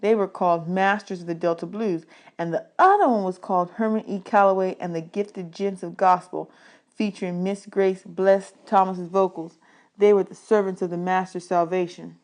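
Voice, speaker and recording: A woman reading a children's novel aloud as an expressive audiobook narrator. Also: on the low side, moderate pace. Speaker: audiobook reader.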